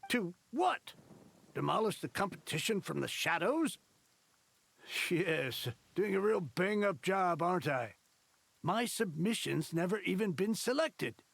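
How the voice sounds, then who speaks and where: A man voicing a flustered, opinionated, self-deprecating writer in a video game, wordy and fussy, with a husky voice. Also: high-pitched, very animated, a little slow, fairly bright tone, dry recording, exaggerated voice. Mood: bitter, defensive. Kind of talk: English video game voice acting.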